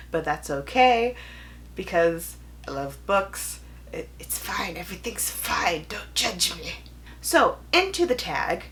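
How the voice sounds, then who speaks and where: A young woman talking casually to viewers as a book vlogger, with animated emphasis and wry, self-deprecating asides. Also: medium pitch. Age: young adult. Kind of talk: casual chatty video to viewers.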